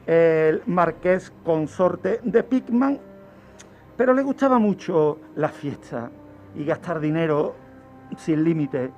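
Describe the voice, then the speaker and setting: A man tells true-crime history on radio in a didactic way, with dramatic pauses and rhetorical questions. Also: on the high side, vocal fry, darkish tone. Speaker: criminologist, radio segment contributor. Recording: dull lo-fi radio recording with background music.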